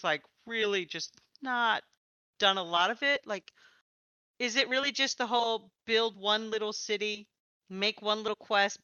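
A woman's voice: mid-range voice, bright, forceful tone, smooth, dry recording, lo-fi sound. A woman hosting a casual interview about tabletop games, talking in an encouraging, reassuring manner. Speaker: podcast host and interviewer, also a game master.

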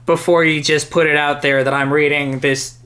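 Bright, higher-voiced man chatting casually. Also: level tone, moderate pace. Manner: rambling, profane, hyperbolic. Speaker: podcast co-host. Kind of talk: casual comedic chat.

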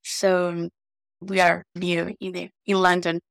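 A woman from Guatemala, a researcher and podcast guest, introducing herself in an unhurried way with pauses. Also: lowish voice, flat delivery, creaky, fairly bright tone, no room sound, clean studio sound. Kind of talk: casual self-introduction.

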